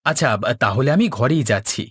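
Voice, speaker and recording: A man's voice: mid-range voice, lively intonation, fairly quick, fairly bright tone, a little hiss or hum. A man voicing a whiny, greedy, talkative young son-in-law who complains, in a folk-tale cartoon. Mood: pestering. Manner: whining, complaining. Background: background music.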